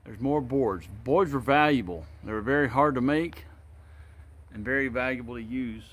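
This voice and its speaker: A man's voice: medium pitch, normal speed, a bit bright. Guy filming himself walking thru an old barn on his phone, rambling, not sure what stuff is. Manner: rambling, unsure, thinks aloud. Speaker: vlogger giving a walking tour. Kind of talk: casual walk-and-talk narration. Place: open-sided wooden barn outdoors. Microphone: handheld phone camera.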